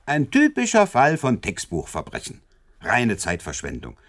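An actor playing a smug, amused Scotland Yard investigator in a German radio drama, talking in a wry, condescending, self-assured way. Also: male voice, medium pitch, huge pitch swings, unhurried, a bit bright.

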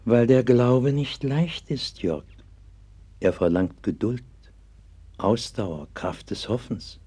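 An actor playing a gentle, devout sixteenth-century pastor in an old German radio play, speaking solemnly and patiently. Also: male voice, mid-range voice, lively intonation, takes it slowly, husky. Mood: gentle, consoling. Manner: solemn, patient, earnest preaching cadence. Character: gentle, devout 16th-century pastor comforting a sick child.

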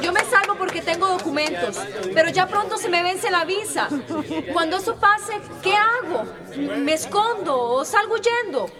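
Worried migrant, very high voice, anxious, radio drama, off-mic, crowd murmur. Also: female voice, animated, moderate pace. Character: anxious female migrant fearing for herself. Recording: distant mic in noisy crowd scene.

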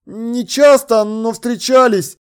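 A man voicing a distraught young boyfriend in an audio drama, loudly and emphatically pleading. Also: extremely high pitch, normal speed, pitched above the performer's own voice. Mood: pleading, defensive. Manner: loud, emphatic, imploring.

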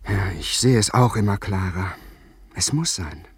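A man's voice: low-pitched, lively intonation, a little slow, slightly rough, dry recording, exaggerated voice. A man playing an earnest, vain, lovestruck young English aristocrat with florid, theatrical delivery in a German radio play. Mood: resolved, musing.

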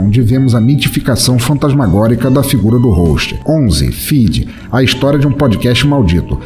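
A man's voice: mid-range voice, darkish tone. A Brazilian man speaking as a podcast host, chatting informally and chummily with his listeners in casual commentary. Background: music under the voice.

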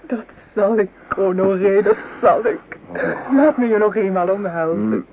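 A woman's voice: mid-range voice, a little slow, dull audio, background noise, natural voice. A woman acting a tender, hesitant young woman in wartime, in a Dutch radio play. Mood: tender, pleading.

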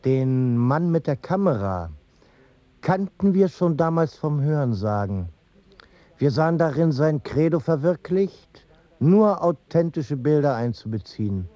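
A sober witness narrating memories in a radio play. His voice is a little high yet mellow, in a dry, slightly dull recording. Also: lively intonation, a little slow, dry recording. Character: male witness soberly recalling a film pioneer. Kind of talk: scripted radio drama narration.

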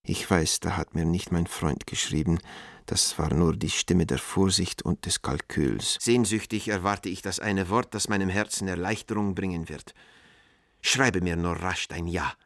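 An actor playing an anguished man reading his letter to an old friend in a radio drama, earnest, pleading and measured. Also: deep voice, fairly bright tone, dry recording, clean studio sound. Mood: hopeful, urgent.

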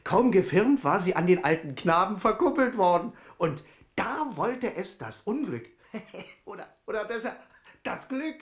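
Folksy tradesman, crafty and jocular, lightly high-pitched, very lively intonation, humbly cheeky, radio play. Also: a little slow. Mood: amused, gossipy. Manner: chuckling, folksy storyteller, humbly cheeky toward the king. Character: jocular, crafty common tradesman dining with a king. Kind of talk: German radio play acting.

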